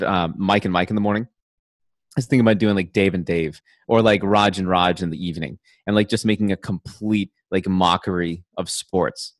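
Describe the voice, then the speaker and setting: A man's voice: sits low, dry recording, clean studio sound. A man in casual podcast chat, joking often and restarting his sentences. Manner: restarts and stammers, laughs a lot. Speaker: podcast co-host.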